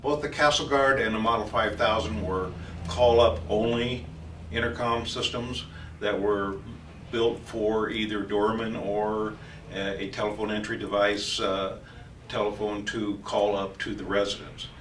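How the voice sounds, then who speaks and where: A man giving an informal technical training explanation as a company trainer, speaking haltingly with frequent hesitations. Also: fairly low pitch, steady tone, fairly bright tone. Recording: roomy echo, distant mic, faint noise.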